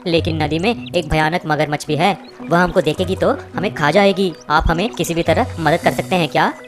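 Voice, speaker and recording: A woman's voice: sits low, normal speed, close to an everyday voice. A woman voicing a worried female tortoise who asks for help in an anxious, polite way. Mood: pleading. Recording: music bed behind.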